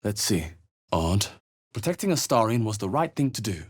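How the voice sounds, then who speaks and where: A man playing a wary, wry young adventurer in a video game, husky and musing to himself as he observes. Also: lowish voice, big pitch swings, normal speed. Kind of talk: video game character voice lines, muttered observations.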